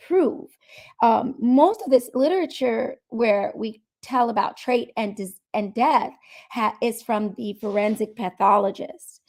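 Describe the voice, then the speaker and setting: A woman with a cold and a hoarse voice, giving an explanatory medical talk at a seminar and repeating key points. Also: a little high, a little mellow. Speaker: medical speaker.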